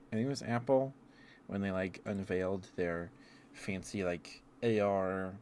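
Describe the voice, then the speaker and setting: Deep-voiced man rambling on an ASMR stream. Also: a little mellow. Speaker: livestreamer. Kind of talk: casual rambling ASMR livestream chat. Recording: clear background noise.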